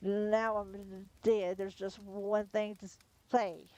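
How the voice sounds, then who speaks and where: A woman's voice: medium pitch, level tone, slow pace, mellow, natural voice. A woman reading aloud, voicing a stammering, henpecked dead husband who speaks through a medium. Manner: stammering, then blunt.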